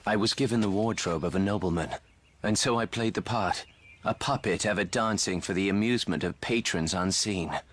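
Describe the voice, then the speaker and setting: Brooding, low-voiced young knight. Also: male voice, fairly bright tone. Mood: bitter. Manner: measured, theatrical, formal archaic diction. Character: brooding, cynical young knight with guarded resolve. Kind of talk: dramatic video game cutscene acting. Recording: music playing behind the voice.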